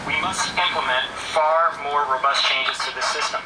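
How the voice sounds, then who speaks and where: A man delivering a prepared public statement as an official at a press briefing, played back through a loudspeaker. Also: a little high, normal speed, loud music behind the voice. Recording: echoey loudspeaker playback over background noise.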